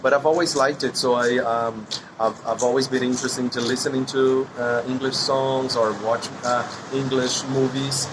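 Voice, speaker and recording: Brazilian man answering on a street, measured and hesitant, mid pitch, traffic audible. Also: little pitch movement. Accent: Brazilian Portuguese. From São Paulo, Brazil. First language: Portuguese. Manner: thoughtful, hesitant with frequent ums. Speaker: interviewee. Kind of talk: street interview.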